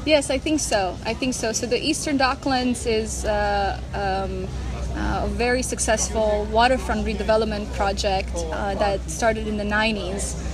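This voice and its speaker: A woman, giving thoughtful interview answers full of ums and uhs. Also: mid-range voice. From Canada. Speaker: guest, urban mobility guide. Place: outdoors on a city street. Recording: street noise with passing vehicles.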